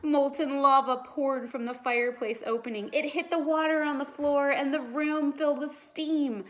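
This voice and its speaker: A woman's voice: high voice, fairly even intonation, normal speed, fairly bright tone. A woman reading a children's storybook aloud like a teacher, expressively and with dramatic emphasis.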